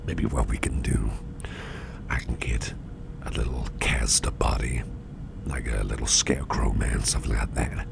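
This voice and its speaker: A man playing an attentive, easygoing businessman boss in a soft, breathy, whispery ASMR roleplay, unhurried and soothing, murmuring close to the microphone. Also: noisy background, full character voice. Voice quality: very low, gravelly, breathy near-whisper. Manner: unhurried, soothing, indulgent, musing aloud.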